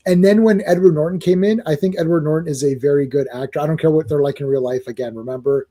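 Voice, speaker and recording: A man co-hosting a casual podcast, talking in an animated, rambling way with many restarts. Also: on the high side, fast. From Croatian family, born locally. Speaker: podcast co-host. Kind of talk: casual chat.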